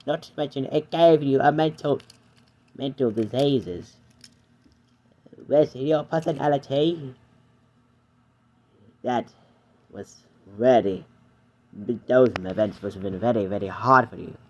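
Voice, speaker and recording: A man voicing a calm, patient male engine who counsels a friend in a fan-made cartoon dub. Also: mid-range voice, muffled recording. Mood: soothing. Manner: soothing, patient, slow.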